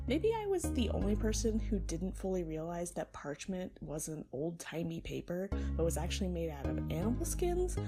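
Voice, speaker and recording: A woman with a slightly low voice narrates a scripted video essay, with music clearly playing behind her. She speaks conversationally and leans on key words, dropping in wry, informal asides as a student reviewer would. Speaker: library student presenting a book review. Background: background music.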